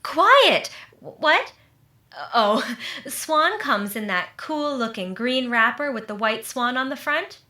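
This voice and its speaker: A woman's voice: high-pitched, lively intonation, slow, sharp tone, heavily acted. A woman playing a chirpy, meddling family friend in old-time radio comedy, blithely talkative, in an echoing room. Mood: annoyed.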